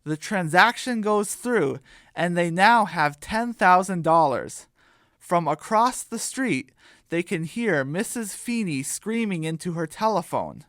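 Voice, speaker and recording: A man tells a scripted comic story as narrator. His voice is on the high side and his delivery is slow, with a dry sound free of room echo. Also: lively intonation, fairly bright tone.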